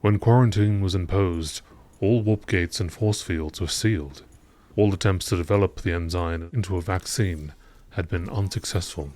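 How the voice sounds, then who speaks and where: Deep-voiced man, documentary-style narrator. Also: a little mellow. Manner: measured, formal reading. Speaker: narrator reading game lore entries.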